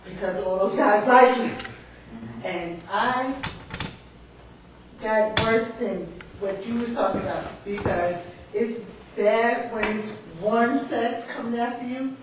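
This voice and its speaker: A very echoey, distant and noisy recording of a woman from New York. She tells an informal personal story at a slow pace, voicing bits of dialogue as she goes. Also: on the high side. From Bronx, New York. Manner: informal, acts out quoted dialogue. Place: indoor literary salon, far from mic.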